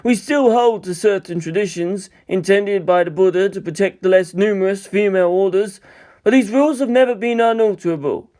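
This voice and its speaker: A man performs an elderly monk in a high-pitched voice. He is a serene, learned storybook teacher who lectures in formal phrases. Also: brisk, dark tone, natural voice. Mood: serene, explanatory. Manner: formal, explanatory.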